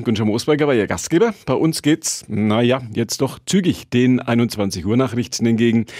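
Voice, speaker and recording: Male radio presenter, mid-pitched, polished announcer delivery, dry close studio sound. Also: a little mellow, no room sound. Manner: smooth, announcer-like, brief prompting questions. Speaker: radio host. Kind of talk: radio interview hosting. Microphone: studio mic.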